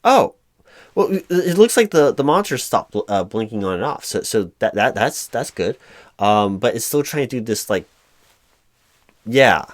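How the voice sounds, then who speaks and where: A man playing a flustered, hapless corporate recruiting manager in a one-sided spoken roleplay, hesitant and stammering, with an awkwardly polite, monotonous delivery. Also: mid-range voice, moderate pace, close to an everyday voice. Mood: flustered, clueless. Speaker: job interviewer.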